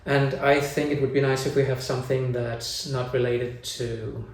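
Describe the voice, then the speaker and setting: Gravelly man in his forties, echoey room. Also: mid-range voice, level tone, normal speed, far from the mic. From Denmark. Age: 40s. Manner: plain, thinks aloud with restarts. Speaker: family vlogger. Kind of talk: casual chat.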